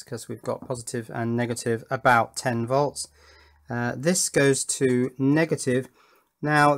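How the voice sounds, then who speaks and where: A British man presenting an informal hands-on demonstration, thinking aloud with an enthusiastic manner. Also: medium pitch. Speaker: electronics hobbyist presenter.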